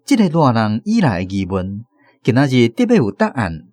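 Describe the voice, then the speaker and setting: A man with a Taiwanese accent narrating a scripted radio drama story in an expressive, literary way. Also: mid-range voice, normal speed, dry recording. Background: faint music.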